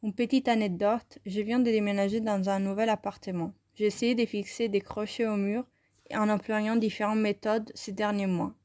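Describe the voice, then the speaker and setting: Calm woman reading. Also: mid-range voice, normal speed. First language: Italian. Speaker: photography student presenting her work. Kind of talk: reading a scripted self-interview.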